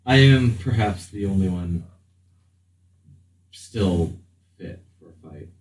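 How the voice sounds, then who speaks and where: A man voicing a solemn, dutiful warrior who speaks slowly and formally in a tabletop game. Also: deep voice, expressive, darkish tone. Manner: slow, formal, grave. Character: solemn, dutiful male warrior standing guard. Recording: roomy, distant mic with faint noise.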